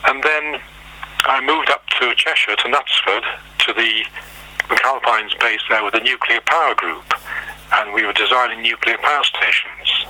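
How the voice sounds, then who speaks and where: An older man from Liverpool, recalling his life in an oral history interview, unhurried and rambling with frequent pauses and restarts. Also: lowish voice, bright, forceful tone, rough recording. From Liverpool, England.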